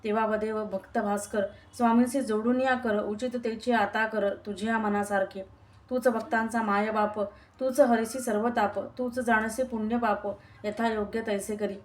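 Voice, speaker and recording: A woman reciting a long devotional verse story in a steady, sing-song recitation. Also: fairly high pitch, normal speed.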